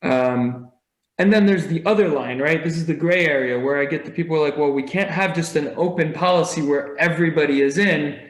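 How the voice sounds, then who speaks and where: A man's voice: fairly high pitch, brisk. Earnest male guest in an echoey room. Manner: earnest, reflective. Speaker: podcast guest, nurse anesthetist. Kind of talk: casual interview storytelling.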